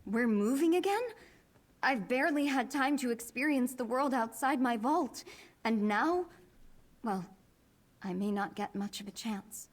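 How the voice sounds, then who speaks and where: A woman voicing a wistful, sheltered young woman in a fantasy video game, plaintive and gentle. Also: on the high side, lively intonation, measured pace. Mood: wistful, resigned. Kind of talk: video game character acting.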